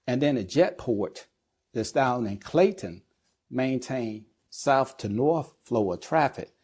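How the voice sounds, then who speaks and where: A middle-aged man speaking as an expert interview guest, explaining things in a measured, deliberate way with pauses between phrases. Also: medium pitch, lively intonation, darkish tone, slightly rough. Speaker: guest expert, air traffic controller and business executive.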